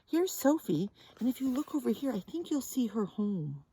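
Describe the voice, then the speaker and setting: A woman reading a picture book aloud to young children as a teacher, gently and with sing-song, exaggerated expression, often addressing them directly. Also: a little high, big pitch swings, moderate pace, slightly rough, no echo.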